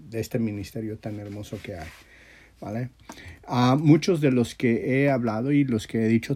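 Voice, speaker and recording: A man gives an informal religious teaching in a soft-edged, mellow voice. He sounds husky and congested, as if with a sore throat. His delivery is earnest and halting, often repeating words as he goes. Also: medium pitch. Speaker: religious teacher leading an audio class. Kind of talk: informal sermon and prayer.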